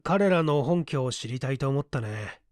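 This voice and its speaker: A man's voice: mid-range voice, expressive, normal speed, darkish tone, no echo, studio quality, natural voice. A man voicing an easygoing, whimsical male detective with a nonchalant, wry manner in an audiobook story. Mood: matter-of-fact. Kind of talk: Japanese audiobook character acting.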